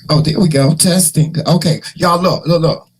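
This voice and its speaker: A hoarse man hosting a casual morning livestream, chatting and teasing his viewers in a folksy way. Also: fairly high pitch, moderate pace, a little hiss or hum. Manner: folksy, teasing, talks to the chat; repeats words and restarts often. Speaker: morning show host.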